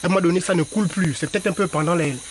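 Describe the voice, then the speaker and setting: A man's voice: sits high, racing, crowd noise. A local man, indignant and insistent, speaking outdoors beside a river in a street interview. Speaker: local resident.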